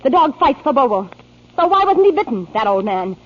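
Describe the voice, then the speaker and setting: Defiant young bride, dramatic swooping intonation, old radio play, lo-fi recording. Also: female voice, sits high, very animated, a little fast. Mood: accusing, defiant. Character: passionate, defiant young woman, devoted bride. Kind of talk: 1940s radio drama acting. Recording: dull 1940s radio broadcast recording.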